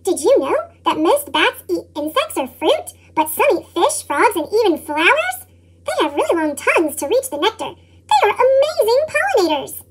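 A woman voicing an eager, chattering little girl from a storybook, through a pitched-up chipmunk effect. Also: big pitch swings, moderate pace, rough recording. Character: excitable little girl who loves bat facts.

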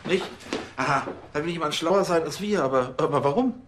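An actor playing a self-important police chief, bossy yet hesitant and fussy. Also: male voice, a little high, a little fast, background noise, natural voice. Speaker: actor in TV crime comedy.

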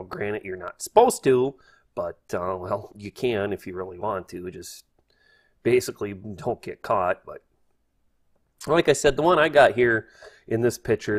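A man hosting a casual hobby tutorial, thinking aloud in a hesitant, folksy way. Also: on the low side. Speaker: host, hobbyist instructor. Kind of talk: casual spoken tutorial over screen.

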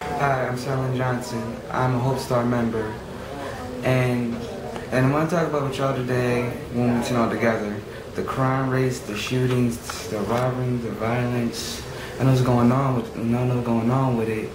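A man's voice: mid-range voice, level tone, moderate pace, crowd noise. A young man speaking earnestly to camera about his community, often repeating himself. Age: young adult. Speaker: youth program member. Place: small room against a plain wall. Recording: echoey room with background noise.

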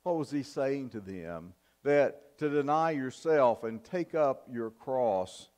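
An older man preaching a sermon in a church, in a measured, earnest style with emphatic pauses. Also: medium pitch, expressive, a little mellow. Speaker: pastor. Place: church sanctuary, at the pulpit. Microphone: fixed camera from the back of the church.

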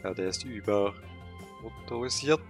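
A man with a cold, thinking aloud with many pauses and fillers while commenting on a game he plays. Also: fairly low pitch, soft background music. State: has a cold. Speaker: let's play gamer. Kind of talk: casual gameplay commentary.

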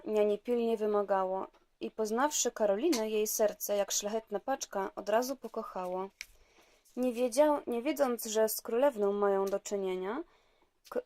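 A woman's voice: medium pitch, dark tone. A woman with a mid-range voice reads a rhymed fairy tale aloud in a storybook manner. She breaks off now and then for plain, chatty remarks about her handmade album. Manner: storybook reading voice that slips into plain chatty asides. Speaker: craft maker presenting her handmade album.

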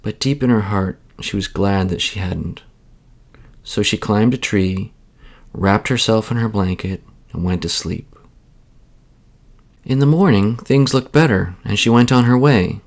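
A man reads a children's bedtime story aloud. His voice is creaky, and his delivery is measured and expressive. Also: lowish voice.

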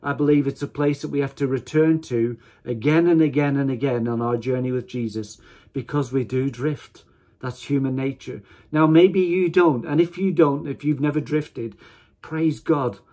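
Husky middle-aged male preacher. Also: mid-range voice, moderate pace, dark tone. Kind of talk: informal sermon to camera. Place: small attic room at home, indoors.

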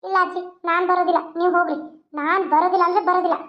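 A woman's voice: extremely high pitch, flat delivery, rapid-fire, dull audio. A woman voicing a stubborn young wife who answers in a defiant, whiny way with a North Karnataka accent.

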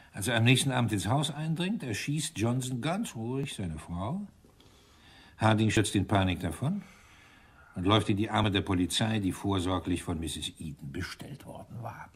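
A man's voice: fairly low pitch, breathy edge. A man playing an irritable British police inspector in a German radio crime drama, dry and commanding.

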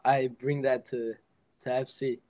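A teenage high school soccer player answering interview questions over a video call, relaxed and full of "like" and "I mean". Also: male voice, measured pace. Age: teens.

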